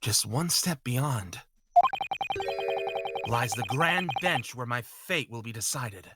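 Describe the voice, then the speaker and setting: A man's voice: medium pitch, lively intonation, slow, over loud music. A man voicing an anxious, hesitant young male student in a video game dub. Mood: anxious, earnest. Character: nervous young male student awaiting judgment.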